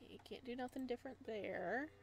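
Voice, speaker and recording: A woman's voice: fairly high pitch, brisk, gravelly. A woman hosting a gaming video, giving casual, chatty, giggly let's play commentary. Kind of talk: let's play commentary and reading game text aloud.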